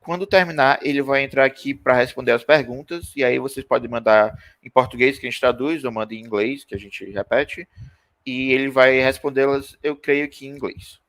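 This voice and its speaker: A man's voice: medium pitch, quick pace, a bit bright. Young man, event presenter, informal intro, easygoing. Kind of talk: casual introduction.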